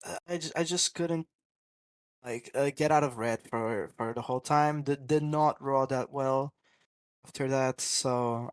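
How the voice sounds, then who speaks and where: A man's voice: medium pitch, no echo. A man answering as a player in a casual post-game interview, hesitant and often stammering into restarts.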